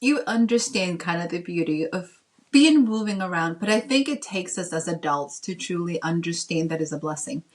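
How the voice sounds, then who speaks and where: A woman from the Dominican Republic and Massachusetts chatting casually as a guest author, with an animated storytelling manner. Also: on the low side, normal speed. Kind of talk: casual interview chat.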